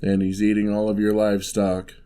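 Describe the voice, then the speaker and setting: A man playing a huge, gentle, courteous giant in an ASMR roleplay, speaking softly with wry, teasing, old-fashioned politeness. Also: fairly low pitch, completely flat delivery, lightly acted. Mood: warm, teasing. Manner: unhurried, soft-spoken, old-fashioned courteous phrasing.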